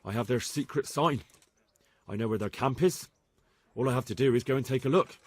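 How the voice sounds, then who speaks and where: A man's voice: medium pitch, brisk, music in the background, close to an everyday voice. An actor voicing an earnest, respectful young man-at-arms in a medieval video game cutscene. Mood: determined. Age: young adult.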